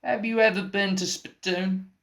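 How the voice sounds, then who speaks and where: A man's voice: high-pitched, steady tone, moderate pace, gravelly, fairly bright tone. A man playing an eccentric, evasive film collaborator in a comic radio play, insistent and theatrically cryptic. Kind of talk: scripted comic mock interview.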